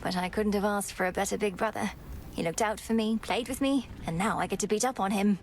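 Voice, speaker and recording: A woman's voice: mid-range voice, fairly quick, a little hiss or hum, lightly acted. A woman voicing a composed young witch princess. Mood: earnest. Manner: polite, composed, earnest. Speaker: game protagonist. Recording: game audio with clear music.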